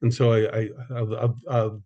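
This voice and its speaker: A man's voice: on the low side, monotone, moderate pace, highs cut off. A man hosting a podcast, speaking casually with frequent stuttered repetitions. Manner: stuttering speech with repetitions, conversational. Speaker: podcast host, business owner. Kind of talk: casual conversation.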